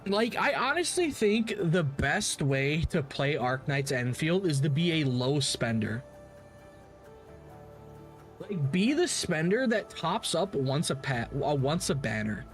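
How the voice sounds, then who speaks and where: A man's voice: a little high, big pitch swings, measured pace, soft background music. A man giving casual livestream commentary on games as the host, rambling and opinionated, with casual swearing.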